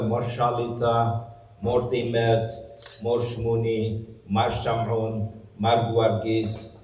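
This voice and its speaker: A middle-aged man from southeastern Turkey whose first language is Syriac Aramaic, recounting his life as a guest speaker in a plain, unhurried way. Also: mid-range voice, darkish tone. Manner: unhurried, plain-spoken storytelling with frequent fillers. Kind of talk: personal testimony in conversation. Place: church hall. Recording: echoey hall, far from mic.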